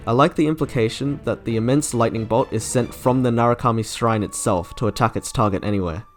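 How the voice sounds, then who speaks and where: A man's voice: mid-range voice, brisk. A man delivering scripted commentary as the host of a video reviewing game character trailers. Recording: music under the voice. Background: background music.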